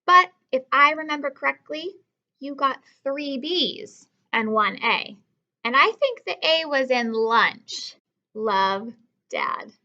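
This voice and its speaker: Woman playing a measured, bright-voiced storybook dad. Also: sits high, expressive, muffled recording, heavily acted. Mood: wry. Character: patient, wry father answering his young son. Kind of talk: storybook read-aloud.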